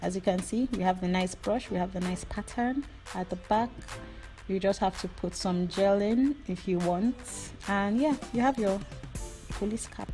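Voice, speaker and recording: A woman's voice: mid-range voice, normal speed, mellow. Young nigerian woman, nigerian accent, playful and gossipy, rambling tutorial voice-over, faint music behind. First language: Yoruba. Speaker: hair tutorial host.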